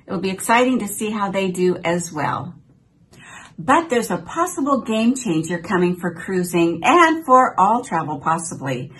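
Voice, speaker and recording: A woman's voice: medium pitch, big pitch swings, unhurried, a bit bright, low-quality recording. Upbeat older woman giving cruise news. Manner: upbeat, newsy delivery reading from notes. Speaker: travel vlogger. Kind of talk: scripted cruise news update. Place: small home office, indoors.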